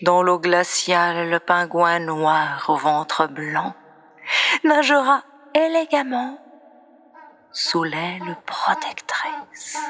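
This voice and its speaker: A woman reciting poetry in a breathy, often near-whispered voice, with solemn, dramatic and deliberate phrasing. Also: fairly low pitch, a bit bright. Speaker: poet reciting own poems. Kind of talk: slow expressive poetry recitation.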